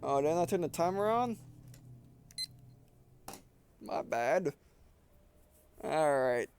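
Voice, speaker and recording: High-voiced man rambling through a video game. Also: animated, slow, darkish tone, slight background noise. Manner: rambling, thinking aloud, repeating and restarting phrases. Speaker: gameplay video host. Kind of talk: casual let's play commentary, reading game dialogue aloud.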